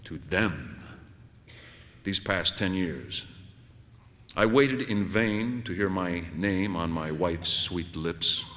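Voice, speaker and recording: A man's voice: fairly low pitch, sharp tone. A man narrating a first-person short story in a wry, conversational, dramatic way. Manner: wry, conversational, dramatic delivery with shifts into dialogue. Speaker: audiobook narrator. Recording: long hall-like reverb.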